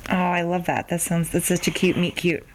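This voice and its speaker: A woman's voice: lowish voice, fairly even intonation, normal speed, heavy background noise. A woman hosting a podcast, interviewing an author in a friendly, appreciative, casual way.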